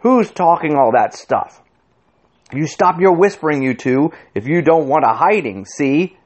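A man voicing a big, rough, threatening bully in an audiobook, blustering loudly. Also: on the low side, wildly swooping pitch, takes it slowly, full character voice. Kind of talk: audiobook character voice.

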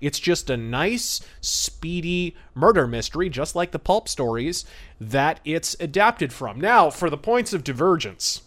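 A man's voice: a little high, very animated, unhurried, a bit bright. A man hosting a podcast and giving conversational, detailed film commentary with a wry manner. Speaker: podcast host.

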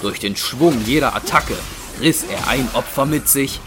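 Ein Mann als Erzähler eines Hörspiels, der einen geschriebenen Text feierlich und dramatisch vorträgt. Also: male voice, medium pitch, measured pace, music in the background. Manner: solemn, dramatic. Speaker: narrator. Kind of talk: dramatic scripted audio drama narration.

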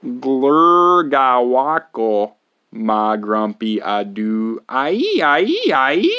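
A man voicing a silly robot monkey in a children's storybook, with exaggerated, drawn-out nonsense sounds. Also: medium pitch, full character voice. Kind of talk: playful children's picture-book reading.

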